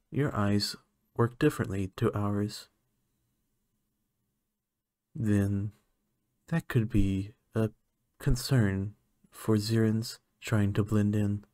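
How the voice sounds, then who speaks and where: A man voicing a curious, clinical alien scientist in a soft-spoken ASMR roleplay, measured and formal with hesitant moments. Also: on the low side, no room sound, clean studio sound.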